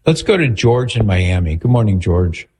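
A man hosting a call-in radio show, calm and attentive as he restates what his callers say. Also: fairly low pitch, moderate pace, slightly rough. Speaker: radio host.

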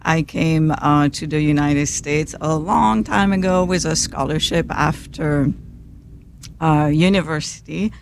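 A woman's voice: deep voice, a little slow, vocal fry, slight background noise. A middle-aged woman from Belgium, a native French speaker with a Belgian French accent, talking as a guest in a live interview.

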